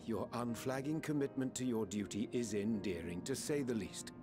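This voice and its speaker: An actor playing a calm, scholarly man in a fantasy video game, speaking in formal, eloquent, archaic phrases. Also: mid-range voice, moderate pace, over music, close to an everyday voice. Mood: gentle, reassuring.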